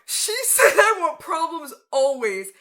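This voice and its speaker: A woman's voice: very high voice, sing-song, a little slow, a loud crowd. A woman in her late twenties giving a casual reaction commentary, chatty and exclaiming, laughing a lot. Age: 20s. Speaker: reaction video creator.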